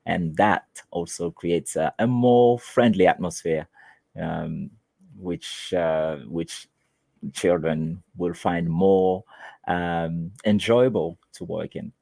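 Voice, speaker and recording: Haitian Creole-speaking man, teacher guest; very low, slow, halting, dry close sound. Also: steady tone, vocal fry, warm tone, dry recording. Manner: halting, many restarts and fillers. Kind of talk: conversational interview answers.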